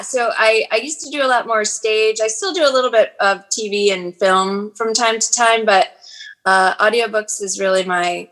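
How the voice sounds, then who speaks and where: A woman's voice: medium pitch, vocal fry, poor audio. Woman, forties, audiobook narrator, chatty, casual interview talk, restarts. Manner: chatty, warm, frequent fillers and restarts.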